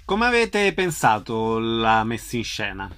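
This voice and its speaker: Guy interviewer, normal mid pitch, asking short chatty questions. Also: very animated, a little slow, a bit bright, a little hiss or hum.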